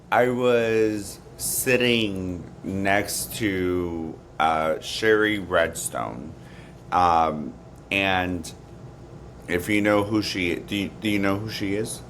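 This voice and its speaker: A man's voice: deep voice, very slow, fairly bright tone, a little hiss or hum. A man co-hosting a podcast in casual chat, with an emphatic way of talking. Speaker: podcast co-host.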